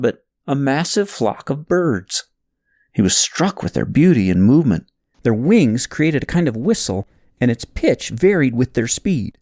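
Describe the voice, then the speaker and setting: A man reading a novel aloud as scripted narration, telling the story in an expressive, dramatic way. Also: mid-range voice, no echo, clean studio sound.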